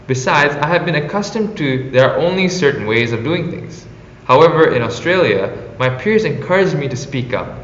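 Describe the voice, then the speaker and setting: A man's voice: a little high, lively intonation, normal speed, fairly bright tone, slight background noise. A young man from Indonesia with an Indonesian accent, earnestly reading a prepared personal reflection on his exchange internship. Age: young adult. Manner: earnest, reads from a prepared script. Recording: roomy, echoey, slightly dull sound.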